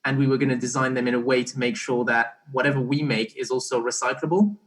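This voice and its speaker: A young man being interviewed, explaining casually in a halting way and often restarting his phrases. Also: mid-range voice, fairly even intonation, fairly bright tone. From Belgian-born, partly raised in Barbados. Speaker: interview guest, startup co-founder.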